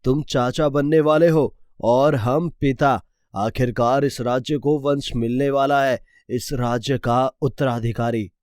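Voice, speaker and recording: A man's voice: mid-range voice, fairly even intonation, unhurried, darkish tone, clean tone, no echo, clean studio sound, natural voice. A man playing a kindly, dignified king who speaks formally in a cartoon story. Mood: joyful. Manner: regal, formal. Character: kindly, dignified king of a fairy-tale kingdom.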